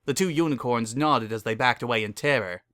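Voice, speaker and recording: A man's voice: mid-range voice, a little fast, fairly bright tone, smooth, dry recording, clean studio sound. A man giving a scripted, dramatic narration of a story.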